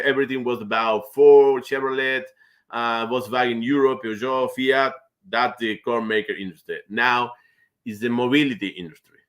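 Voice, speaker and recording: A man's voice: mid-range voice, a bit bright. Man, native Spanish speaker, mid-range voice, stop-start delivery, repeats words, video call. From South America. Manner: halting, many restarts and repeated words. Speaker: guest, finance manager. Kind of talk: live video-call interview.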